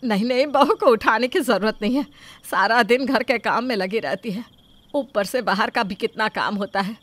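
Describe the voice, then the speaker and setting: A woman's voice: on the high side, normal speed, lightly acted. A woman voicing a middle-aged mother-in-law in a moral story, scheming, sugary and theatrically self-pitying. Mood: fussing, caring. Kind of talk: acted Hindi moral-story dialogue.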